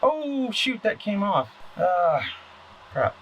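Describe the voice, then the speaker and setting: A man's voice: sits high, very animated, slow pace, highs cut off. A man talking casually and unscripted as he does hands-on repair work, muttering to himself and answering his own questions. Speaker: electronics repair hobbyist hosting a video. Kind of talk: casual unscripted talk while working. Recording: clear background noise, clicking and clatter.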